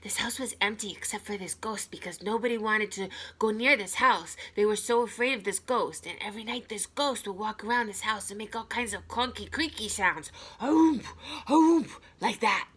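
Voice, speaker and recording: A woman's voice: medium pitch, normal speed, fairly bright tone, slightly rough. A woman voicing a playful, evasive young boy in a storybook, dramatic and sometimes dropping into whispers. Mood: spooky, excited.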